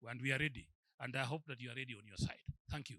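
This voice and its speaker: Bright-voiced man giving a welcome. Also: mid-range voice, racing, no echo. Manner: hesitant starts building to emphatic welcome. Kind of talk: speech.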